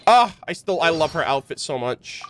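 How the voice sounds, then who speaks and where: A man's voice: a little high, very animated, normal speed, fairly bright tone, a little hiss or hum. A man giving casual livestream commentary on a game in an enthusiastic, gushing way. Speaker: game streamer. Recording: game music behind.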